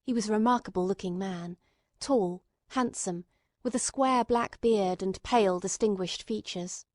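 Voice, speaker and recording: Woman, audiobook narrator, literary scripted reading, medium pitch, dry recording. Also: normal speed, a little mellow, dry recording.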